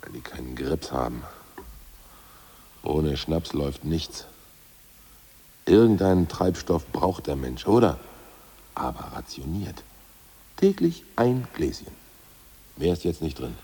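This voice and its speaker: A gruff ousted party boss. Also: male voice, extremely low pitch, sing-song, slow, long pauses, dark tone, heavily acted. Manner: blunt, coarse, self-assured bluster. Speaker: actor in radio play. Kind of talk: 1980s radio drama acting.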